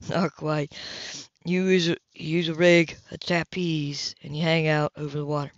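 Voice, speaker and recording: A woman's voice: low-pitched, level tone, moderate pace, dry recording, voice pushed down, natural voice. A woman voicing an easygoing, teasing male sailor in an audiobook. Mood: relaxed teasing. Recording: dull, lo-fi home recording.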